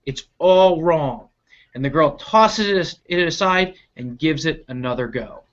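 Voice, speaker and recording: Children's read-aloud narrator, mid-pitched and highly expressive, unhurried delivery, dull recording. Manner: animated, deliberate, stretching key action words, with asides to listeners. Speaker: reader reading a picture book to children.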